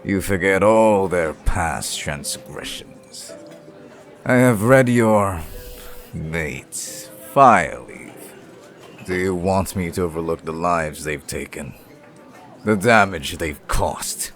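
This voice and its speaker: Guy acting as a strict disapproving dad in an audio drama, low voice, talks slow, monster hunter type. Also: expressive, a bit bright, soft background music, exaggerated voice. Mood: accusing. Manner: righteous, slow and measured, disapproving. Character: stern, unyielding father, a veteran monster exterminator.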